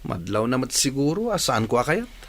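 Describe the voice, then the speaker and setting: A man's voice: mid-range voice, sing-song, brisk, fairly bright tone. A man playing a hesitant, evasive grown son in an Ilocano radio drama, with a subdued delivery. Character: evasive grown son confiding about his love life.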